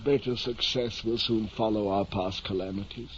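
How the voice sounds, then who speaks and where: A man's voice: fairly low pitch, fairly even intonation, normal speed, natural voice. Man acting a grandiose deluded old-time radio knight. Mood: battered but stubborn. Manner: pompous, archaic, declamatory speech. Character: deluded, grandiose gentleman knight nearing fifty. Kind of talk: 1950s radio drama acting. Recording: muffled, noisy old-time radio recording.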